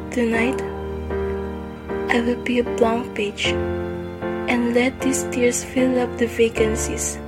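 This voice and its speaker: A woman playing a sorrowful wife and mother who reads a solemn farewell in a radio drama. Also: a little high. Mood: despairing, resigned. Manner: slow, solemn, grieving delivery. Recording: music under the voice.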